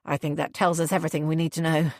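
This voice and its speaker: A woman voicing a blunt, protective grown man with a husky, growling voice in a storybook reading. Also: deep voice, flat delivery, no echo, clean studio sound, voice pushed down. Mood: teasing. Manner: blunt, sarcastic, quick to anger. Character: gruff protective adult man, teasing brother.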